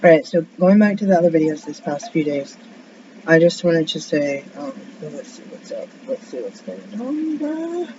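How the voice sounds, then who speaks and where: Raspy deep-voiced woman venting in a rambling vlog. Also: very animated, normal speed. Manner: rambling, tangent-prone, venting. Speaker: vlogger. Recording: dull lo-fi sound with faint noise.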